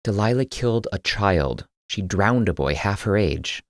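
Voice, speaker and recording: A man's voice: on the low side, animated, measured pace, dry recording, voice pushed up. A duty-bound man who guards the keys, played by a male audiobook reader. He is firm but civil, defensive and reasoning, holding himself in check. The recording is dry and close. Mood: earnest. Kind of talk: audiobook character dialogue.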